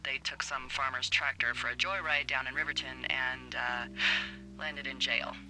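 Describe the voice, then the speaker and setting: An actress playing a wry, worried fire lookout in a naturalistic game style, sardonic, speaking over a two-way radio. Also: female voice, very deep voice, steady tone, normal speed, pushed and bright, exaggerated voice. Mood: dry, guarded. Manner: sardonic, morbidly joking. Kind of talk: naturalistic video game acting. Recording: noisy walkie-talkie radio transmission.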